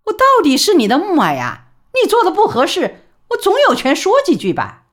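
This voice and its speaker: A woman playing a shrill, furious mother. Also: huge pitch swings, moderate pace. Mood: indignant. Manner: shrill, scolding, hot-tempered.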